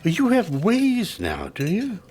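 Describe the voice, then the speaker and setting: A man's voice: high-pitched, huge pitch swings, slow pace, a little mellow, slight background noise, heavily acted. An actor playing a middle-aged, domineering aristocratic father, mocking and theatrical in an audio drama. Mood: scornful. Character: domineering aristocratic father, head of a grand estate.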